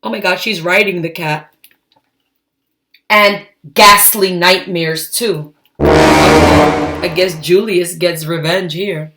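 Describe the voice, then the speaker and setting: A woman reading a storybook aloud to children, expressive and emphatic, adding her own asides between the lines. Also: fairly low pitch, slow, long pauses, very noisy. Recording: echoey, roomy sound.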